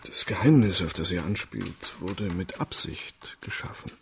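An actor in a radio play voicing a discreet, learned family doctor of ancient China, formal and measured in his explanations. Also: sits low, lively intonation, gravelly, slight background noise, full character voice. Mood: discreet, explanatory. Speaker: actor in a radio crime drama.

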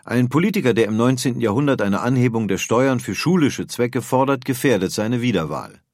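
A man's voice: mid-range voice, animated, fairly quick, a little mellow, no room sound, studio quality. A man narrating a scripted radio documentary.

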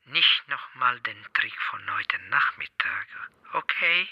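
Radio drama villain: threatening gangster on a filtered phone call, piercingly bright, sneering. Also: male voice, mid-range voice, animated, slow pace, slightly rough, no echo, heavily acted. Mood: quietly menacing. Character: menacing male gangster blackmailer on the telephone. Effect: telephone filter.

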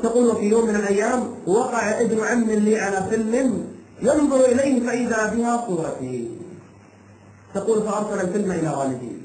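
A man's voice: high-pitched, darkish tone. A man preaching an impassioned sermon, telling a cautionary tale in short, emphatic phrases with dramatic repetition. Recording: echoey room sound with light background noise.

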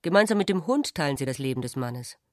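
A woman's voice: deep voice, animated, quick pace, dry recording. Articulate, insistent woman, a provocative sister, dry studio. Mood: languid scorn. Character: Agathe, sharp-witted, provocative, tired sister of Ulrich. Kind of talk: literary radio drama.

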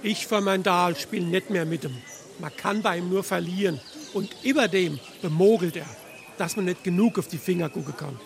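A man's voice: high-pitched, moderate pace, gravelly. A man playing a grumbling, distrustful tavern regular with a Hessian accent in a dialect comedy. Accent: Hessian, Darmstadt dialect. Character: grumbling, distrustful male tavern card player.

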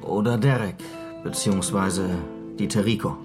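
An actor playing a calm questioner in a Western radio drama in German, prompting quietly and in measured phrases. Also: male voice, on the low side, music in the background, lightly acted. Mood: calm, probing.

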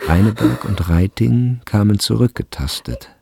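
A man's voice: lowish voice, normal speed, vocal fry, mellow, husky, no echo, clean studio sound. A man narrating a literary radio drama as a scripted storyteller.

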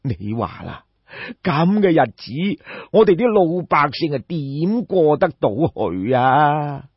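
A man voicing a destitute old peasant in an audiobook story, sorrowful and respectful, with sighing, plaintive, drawn-out emphatic phrases. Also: fairly high pitch, big pitch swings, slightly rough, dry recording, exaggerated voice. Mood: weary, aggrieved. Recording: dull, muffled lo-fi audio.

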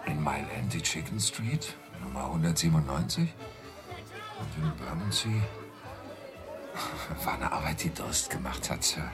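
An actor playing a rough, sly working-class London carter who rambles colloquially and deferentially in a radio drama. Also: male voice, extremely low pitch, animated, normal speed, long pauses, over music, a crowd in the background. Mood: sly, thirsty. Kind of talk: German radio drama acting.

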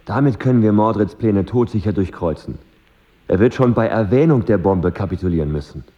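An actor as a low, emphatic, ardent knight. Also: male voice, animated, fairly quick, dark tone, lo-fi sound, natural voice. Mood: confident. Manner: emphatic, declamatory. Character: ardent, forceful knight, loyal but dismayed. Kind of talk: radio play acting.

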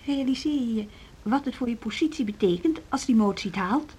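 An actress playing a probing, wary woman teacher in a Dutch radio play, with a husky voice. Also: on the high side, animated, a little fast, mellow, rough recording. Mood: probing, guarded. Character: probing, scheming female music school teacher, evasive and wary.

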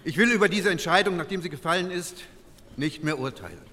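Bright, high-voiced parliamentary delegate. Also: male voice, expressive, brisk. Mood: earnest regret. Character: male parliamentary delegate of late-1940s Germany, earnest, regretful. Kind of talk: acted parliamentary debate speech. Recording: reverberant hall, some noise.

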